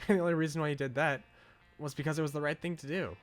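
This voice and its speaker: A man's voice: fairly high pitch, fairly even intonation, quick pace, pitched above the performer's own voice, close to an everyday voice. Man voicing an earnest, devoted young woman. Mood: admiring, earnest. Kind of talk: reading visual novel dialogue aloud in character. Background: game music.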